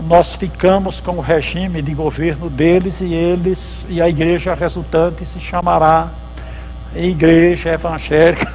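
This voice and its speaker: Gravelly pastor lecturing. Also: male voice, fairly high pitch, a little mellow, a little hiss or hum, rough recording. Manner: conversational storytelling, acts out dialogues. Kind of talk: church history lecture. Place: lecture hall at a theological symposium.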